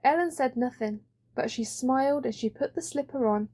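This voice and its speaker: A woman's voice: sits high, normal speed, warm tone. A woman reading a children's story as an audiobook narrator.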